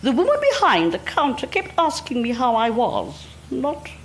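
A South African woman reading book extracts aloud to an audience, in an animated, playful manner with frequent laughs. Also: high-pitched, moderate pace, slight background noise. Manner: animated, laughs often, playful quoting voices. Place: event room with an audience.

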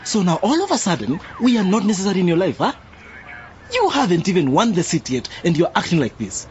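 A man's voice: sits high, very animated, a little fast, darkish tone. A wounded, indignant father. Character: hurt, indignant father and advisor. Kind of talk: radio drama acting. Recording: dull lo-fi radio recording, faint noise.

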